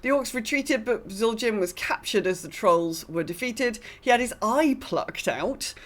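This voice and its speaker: A woman's voice: fairly high pitch, animated, measured pace. A woman co-hosting a podcast, reading a game lore recap in a casual way with dry, sardonic asides. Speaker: podcast co-host.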